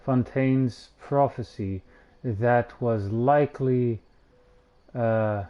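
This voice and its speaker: A man streaming a game, reading dialogue aloud with casual asides in a low-key, halting way. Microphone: home streaming microphone. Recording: dull, lo-fi streamer microphone.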